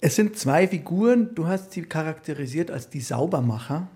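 A man working as a radio interviewer, speaking in a measured, articulate way with prepared, conversational questions. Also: fairly high pitch, animated, dark tone, slightly rough.

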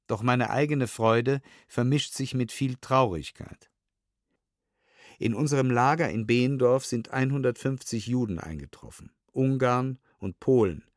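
A man's voice: mid-range voice, no echo. Man reading testimony in a dry studio. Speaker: reader of testimony. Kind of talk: literary documentary reading.

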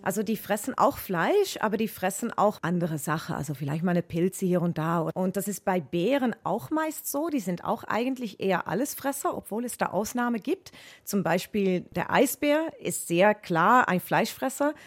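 A woman's voice: mid-range voice, lively intonation, normal speed. A woman from the Netherlands with a Dutch accent, explaining things as a zoology expert. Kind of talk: explanatory interview answers.

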